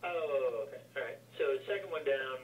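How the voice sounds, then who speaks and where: A man with a medium-pitched voice walks buyers through an investment in an explanatory way. He sounds set back from the mic on a phone call, with clear background noise. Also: fairly quick, distant mic. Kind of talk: explanatory business phone conversation.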